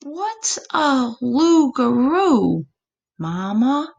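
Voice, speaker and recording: A woman's voice: sits high, big pitch swings, extremely slow, vocal fry, dull audio, pitched above the performer's own voice, full character voice. A woman voicing an innocent, curious little boy possum in a storybook, wide-eyed and full of questions.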